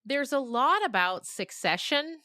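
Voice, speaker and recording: A woman's voice: high voice, very animated, a little slow, pushed and bright, dry recording, clean studio sound. A woman talking casually and reflectively as an interview guest on a podcast, thinking aloud in informal language. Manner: informal, thinking aloud, frequent "like" and "you know".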